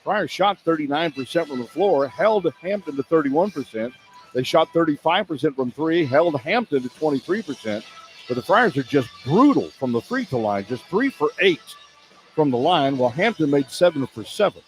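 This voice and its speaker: A man's voice: fairly high pitch, expressive, moderate pace, soft background music, poor audio. A man working as a radio play-by-play announcer, delivering sports broadcast commentary and game statistics.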